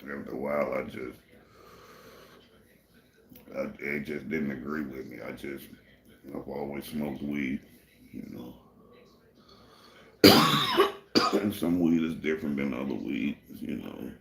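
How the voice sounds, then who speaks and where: Laid-back male livestream host, very low gravelly voice, echoey room, rambling delivery. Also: takes it slowly, long pauses, fairly bright tone. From Michigan, USA. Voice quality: deep gravelly voice, people say it suits radio. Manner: laid-back and rambling, many "you know" fillers. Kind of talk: casual livestream chat answering viewers.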